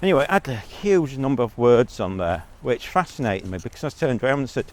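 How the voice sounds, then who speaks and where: A man's voice: medium pitch, animated, a little fast. A man giving a blunt, rambling monologue as a vlogger, talking while out on a walk.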